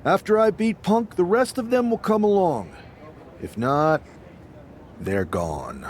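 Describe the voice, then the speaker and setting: An actor playing a treacherous, power-hungry wrestling villain in a game cutscene, with menacing, theatrical taunts. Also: male voice, high-pitched, very animated, slow pace, slight background noise, heavily acted. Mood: cold, scheming. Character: treacherous, power-hungry young male wrestling villain leading a rebel faction.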